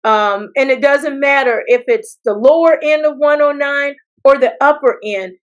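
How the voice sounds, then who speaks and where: An American woman from South Carolina answering interview questions as a political candidate, speaking in deliberate phrases with pauses. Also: sits high, lo-fi sound. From South Carolina, United States. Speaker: political candidate, attorney and former judge.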